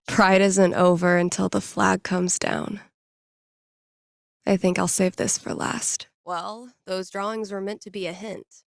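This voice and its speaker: A woman's voice: medium pitch, gravelly, no room sound, studio quality. A woman playing a snarky, easygoing young record-store geek in a video game, talking in casual, slangy, sardonic lines. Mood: quiet musing. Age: young adult.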